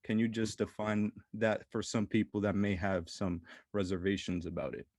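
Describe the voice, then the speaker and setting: A man's voice: on the low side, level tone, normal speed, darkish tone, no room sound. Upbeat male interview host, dry sound. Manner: upbeat, encouraging, agrees often. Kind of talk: casual interview hosting.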